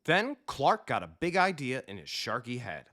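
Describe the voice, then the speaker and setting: Male storybook reader: very lively intonation, medium pitch, expressive, emphatic delivery for young children. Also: moderate pace. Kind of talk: animated children's story narration.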